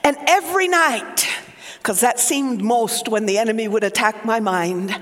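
A man from Oklahoma with a Southern accent, preaching a fervent sermon as pastor, rising to shouts and falling to hushed whispers. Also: very high-pitched, moderate pace, long reverb tail. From Oklahoma, USA.

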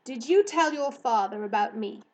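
A woman's voice: fairly high pitch, animated, a little hiss or hum, lightly acted. A woman voicing a haughty magical alien hare in a storybook, with a pompous, emphatic way of talking. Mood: matter-of-fact. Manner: pompous, drawn-out emphatic delivery.

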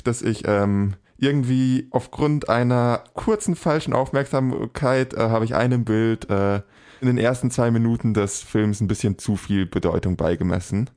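Low-ish young guy chatting about a movie, keeps correcting himself, emphatic. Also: darkish tone. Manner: rambling, self-correcting, emphatic. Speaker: podcast co-host. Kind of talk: casual film review chat.

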